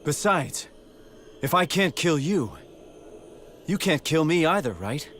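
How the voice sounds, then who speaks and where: A man's voice: a little high, sing-song, slow, a bit bright, a little hiss or hum, full character voice. An actor playing a noble, resolute young king in a video game, speaking with earnest heroic conviction. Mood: calm defiance. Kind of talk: dramatic video game voice acting.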